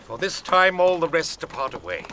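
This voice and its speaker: An actor playing an angry, commanding prince, declaiming imperiously in a Shakespearean audio drama. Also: male voice, on the high side, very animated, moderate pace, pushed and bright, a little hiss or hum. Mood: stern command. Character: enraged, commanding prince rebuking his brawling subjects.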